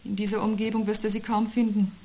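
An actress as a dry, sardonic, sceptical wife. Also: female voice, mid-range voice, flat delivery, fast, warm tone, natural voice. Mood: mocking. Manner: dry, ironic, pointed. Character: sardonic, sceptical wife parrying her husband's unease. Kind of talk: 1970s radio drama acting.

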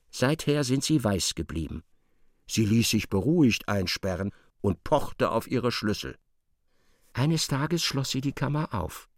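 Male narrator for a radio drama: mid-range voice, animated melody, close dry studio recording. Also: mid-range voice, no room sound.

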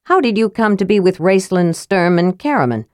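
A woman's voice: mid-range voice, expressive, moderate pace, dry recording, clean studio sound, close to an everyday voice. A woman voicing a troubled, stern half-elven male leader in a fantasy audiobook, serious and questioning.

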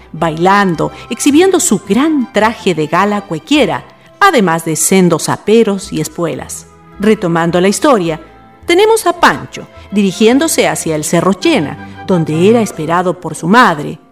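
A woman narrating a scripted radio play in a theatrical storytelling manner. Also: mid-range voice.

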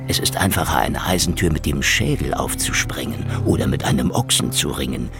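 An actor playing a cynical, menacing Soviet secret-police investigator in a radio crime drama, with sardonic, deadpan wisecracks. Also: male voice, a bass voice, a little fast, vocal fry, over music, heavily acted. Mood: wry, deadpan. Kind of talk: hardboiled radio crime drama, acting and narration.

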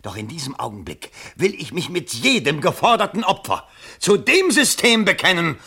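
A man's voice: fairly high pitch, very animated, normal speed, slightly rough, heavily acted. An actor playing a desperate, vain middle-aged father and political candidate in a theatrical radio play. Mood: agitated brooding. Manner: theatrical, pleading, swinging from hushed to frantic. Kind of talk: stage-like German radio play acting.

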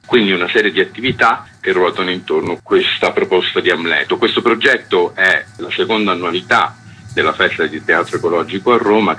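A man's voice: deep voice, sharp tone, slight background noise, poor audio. A man who organizes a theatre festival, steadily listing program details in a radio interview.

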